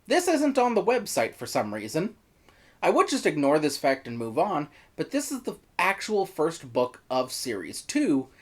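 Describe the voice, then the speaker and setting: Reviewer with a very animated, swinging pitch. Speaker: reviewer, host. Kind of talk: scripted video review narration.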